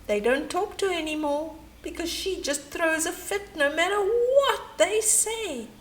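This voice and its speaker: High-voiced, slow, animated woman storyteller. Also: low-quality recording. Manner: chatty asides and questions to young listeners. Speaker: children's storyteller. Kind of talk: animated bedtime story read-aloud.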